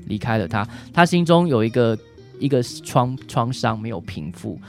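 A man's voice: mid-range voice, sing-song, moderate pace, vocal fry, darkish tone, soft background music. A man with a Taiwanese Mandarin accent, a doctor answering as an interview guest, explaining things in a hesitant way with frequent tag particles.

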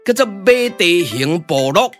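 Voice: medium pitch, big pitch swings, unhurried, fairly bright tone, faint music behind. A performer with a Taiwanese accent voicing an emphatic, know-it-all pioneer soldier in a glove-puppet radio drama. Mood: lecturing.

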